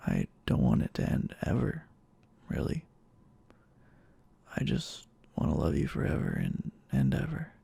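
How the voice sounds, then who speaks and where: A man playing a clingy, obsessively devoted boyfriend in an intimate ASMR roleplay, speaking haltingly in a breathy half-whisper. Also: rumbling low, wildly swooping pitch, mellow, dry recording, exaggerated voice. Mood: pleading, devoted. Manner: halting, slow, hesitant.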